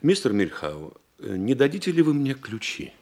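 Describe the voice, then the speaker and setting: A male actor playing a composed, thoughtful doctor turned detective in a Russian radio play, speaking in a deliberate, measured way. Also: mid-range voice, big pitch swings, dark tone. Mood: composed, firm. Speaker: lead actor, also voicing his thoughts.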